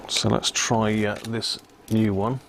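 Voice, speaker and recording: A man's voice: lowish voice, level tone, slow, gravelly, heavy background noise. A man hosting a casual hobby livestream, chatting matily and muttering to himself as he works at an electronics bench. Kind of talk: casual live chat while working at a bench.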